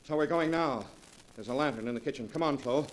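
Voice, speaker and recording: An actor playing a greedy, ruthless, impatient murderer in 1940s radio style, brusque and melodramatic. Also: male voice, mid-range voice, fast talker, long reverb tail, close to an everyday voice. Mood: greedy urgency. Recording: noisy 1940s radio recording.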